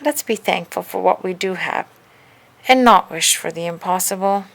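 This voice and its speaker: A woman's voice: lowish voice, moderate pace, gravelly. A woman voicing a practical, weary but caring mother in a children's story. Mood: weary, firm.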